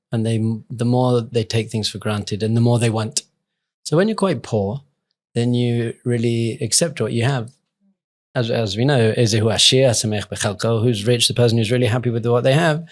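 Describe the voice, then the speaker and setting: Adult man, mid-pitched voice, earnest, reflective, informal interview storytelling. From England. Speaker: rabbi and podcast guest.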